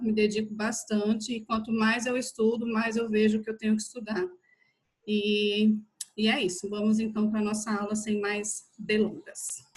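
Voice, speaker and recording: A Brazilian woman with a fairly high voice and little rise and fall in pitch gives an online class. She speaks informally, addressing her listeners directly like colleagues. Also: fairly bright tone, low-quality recording. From São Paulo state, Brazil. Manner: conversational, addresses listeners familiarly. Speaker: physiotherapist teaching an online class.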